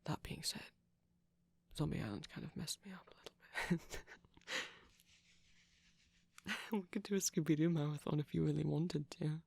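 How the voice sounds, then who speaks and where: A close, dry recording of a man playing a shy young vampire boyfriend in an ASMR roleplay. His voice is soft and breathy with a creaky edge, often dropping to a whisper. He is gentle and nervous, rambling hesitantly and apologetically. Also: a little high, fairly even intonation, brisk, no echo. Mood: gently chatty. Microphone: close microphone.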